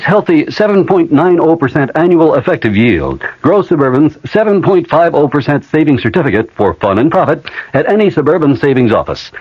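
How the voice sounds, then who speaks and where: A man's voice: a little high, husky, dry recording. An American man reading scripted radio advertising and announcements. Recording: dull vintage radio recording.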